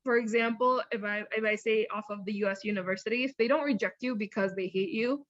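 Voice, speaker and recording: A woman's voice: medium pitch, fairly quick, dry recording. Chatty counselor woman, lo-fi sound. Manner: chatty, self-correcting, lively. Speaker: university counselor. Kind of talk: casual interview answers.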